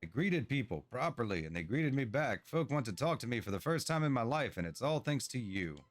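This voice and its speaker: A man's voice: mid-range voice, very animated, brisk, natural voice. A man voicing an eager, awkward, proud young dwarf inventor in a fantasy game, with hesitant, folksy delivery. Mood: excited, proud. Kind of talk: storybook-like game dialogue read aloud.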